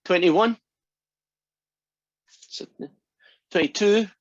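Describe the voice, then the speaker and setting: A man's voice: high-pitched, extremely slow, no room sound. A man in his sixties teaching a math class online, chatty and friendly, often asking "right?" to check in. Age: 60s. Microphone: computer mic on a video call. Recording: dry, dull lo-fi call audio.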